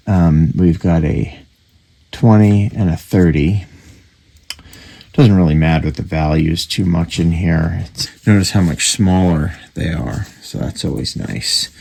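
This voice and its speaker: Very deep, gravelly man, thinking aloud. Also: a little mellow. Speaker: radio repair hobbyist and video host. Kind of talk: casual hands-on repair narration, thinking aloud. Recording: clearly noisy, with clicks.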